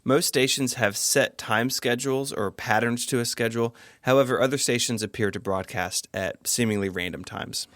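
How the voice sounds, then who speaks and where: A man's voice: medium pitch, moderate pace, few pauses, fairly bright tone, dry recording. Man co-hosting, dry close sound. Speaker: podcast co-host. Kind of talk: scripted explainer with casual banter.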